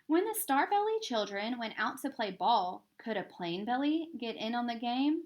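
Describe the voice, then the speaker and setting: Very animated woman reading a children's storybook. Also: on the high side, dull audio. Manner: theatrical, with stressed rhythm and drawn-out words. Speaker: storybook reader. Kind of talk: expressive read-aloud of a rhyming children's book.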